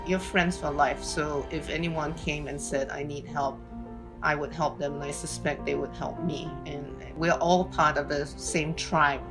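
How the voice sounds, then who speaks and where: Low-voiced woman over background music. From Singapore. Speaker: award recipient. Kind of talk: recorded acceptance speech.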